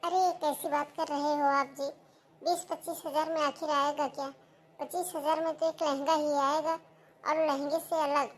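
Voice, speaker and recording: A whiny young daughter-in-law. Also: female voice, sits high, poor audio. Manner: complaining, pleading. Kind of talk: cartoon voice acting.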